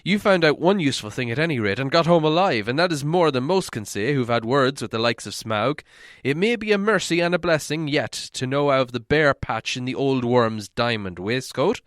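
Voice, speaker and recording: A man's voice: mid-range voice, animated, moderate pace, fairly bright tone, no room sound, close to an everyday voice. A man reads as a kindly male dwarf in a storybook. He speaks up firmly in a slightly lifted voice, consoling and sensible. The sound is dry. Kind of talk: storybook character voice in audiobook reading.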